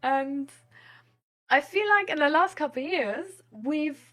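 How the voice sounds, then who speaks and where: Woman with a fairly high voice, informal and rambling, thinking aloud like a streamer chatting to viewers. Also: animated, unhurried, a bit bright. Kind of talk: casual rambling chat.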